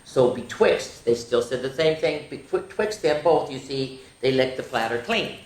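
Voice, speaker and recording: A woman's voice: rumbling low, animated, darkish tone. Older woman storyteller in an echoey room. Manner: sing-song, drawn-out reading with chatty asides; addresses young listeners directly. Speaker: children's story time host. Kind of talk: reading nursery rhymes aloud to children. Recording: roomy, echoing room sound.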